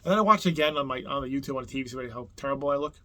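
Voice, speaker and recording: Man, New Jersey accent, casual video host, rambling, enthusiastic, lots of fillers and restarts, mid pitch. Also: rapid-fire, sharp tone. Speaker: YouTube host. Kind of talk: casual album countdown.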